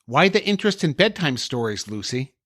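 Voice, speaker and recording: A man's voice: medium pitch, sing-song, a little fast, sharp tone, no room sound, studio quality. A man hosting a podcast, delivering scripted comic dialogue and storybook reading. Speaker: podcast host.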